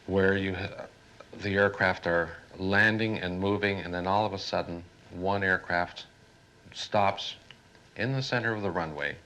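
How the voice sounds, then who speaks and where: A man working as an air traffic controller recounts his job in an interview, in deliberate, broken phrases. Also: deep voice, pushed and bright, rough recording. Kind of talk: interview, recounting work experience. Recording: faint noise, 1970s archive TV film.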